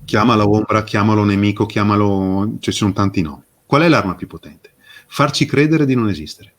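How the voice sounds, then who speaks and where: A man speaking as an interview guest, explaining with passion and emphasis, posing rhetorical questions and pausing for effect. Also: on the low side. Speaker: interview guest, fairy tale expert. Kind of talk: impassioned explanatory interview talk.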